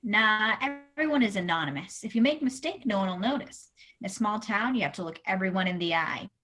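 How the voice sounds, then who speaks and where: A woman's voice: medium pitch, animated, fairly quick, fairly bright tone, lightly acted. A woman playing a blunt, opinionated small-town veterinarian in a comedic radio play.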